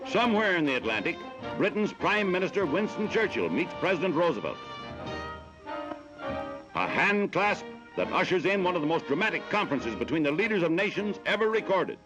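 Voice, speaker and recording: A man's voice: high-pitched, very animated, fairly quick, low-quality recording. A man with an American accent narrating a 1940s newsreel in a dramatic, declamatory way, on an old mono film soundtrack. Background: loud orchestral music.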